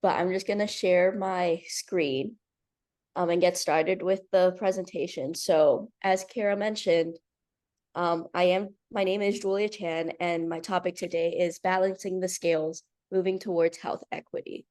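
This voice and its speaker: A woman's voice: on the low side, fairly even intonation, measured pace, darkish tone. A young woman in her twenties from Hong Kong, a new nursing graduate giving a slide presentation with many ums. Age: 20s. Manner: earnest, nervous, with frequent ums. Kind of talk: presentation over video call.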